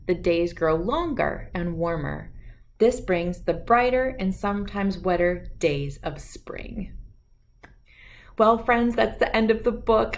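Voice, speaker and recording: Female children's book narrator, mid-pitched and very expressive, slow and deliberate delivery. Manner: animated, deliberate phrasing. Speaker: children's book reader and host. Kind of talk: expressive read-aloud storytelling for children.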